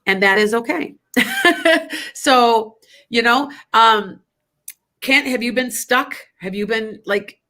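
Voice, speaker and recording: A woman's voice: on the high side, big pitch swings, takes it slowly, pauses often, sharp tone. Stuffy-nosed woman hosting a chatty show. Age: older. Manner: chatty, rambling, laughs often. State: stuffy nose. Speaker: psychic radio show host. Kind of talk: casual spiritual talk.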